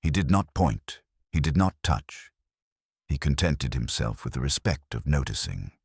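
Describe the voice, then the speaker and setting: A man reading a scripted literary mystery as narrator, in a measured, formal storytelling manner. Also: rumbling low, sing-song, gravelly, darkish tone, breathy edge, no room sound, clean studio sound.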